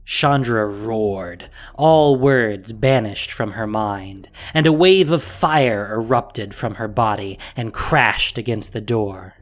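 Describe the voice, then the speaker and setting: A man's voice: on the low side, slow, hardly any pauses. A man narrating a fantasy audio drama in a scripted, dramatic storytelling style.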